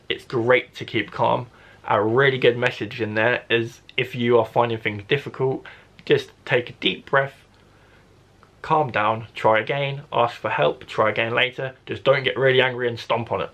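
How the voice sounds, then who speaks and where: A man who works as a primary school teacher, reading a story expressively to children with deliberate phrasing. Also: mid-range voice, fairly even intonation, fairly bright tone.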